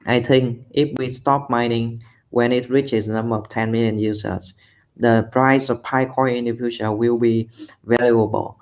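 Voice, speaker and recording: A man from Vietnam speaks in a medium-pitched voice with a Vietnamese accent. He sets out a prepared personal opinion as part of a community discussion.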